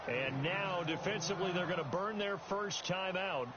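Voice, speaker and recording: A man's voice: high voice, normal speed, lightly acted. A man calling a football game as a play-by-play commentator, stressing the big plays. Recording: muffled game stream, loud background noise.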